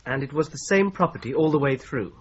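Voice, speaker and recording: An actor playing a polite, methodical young law clerk who presses his points in a calm, persistent way, in a radio drama. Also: mid-range voice, a little fast, slight background noise, close to an everyday voice. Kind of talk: 1970s radio drama acting. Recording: slightly dull broadcast recording.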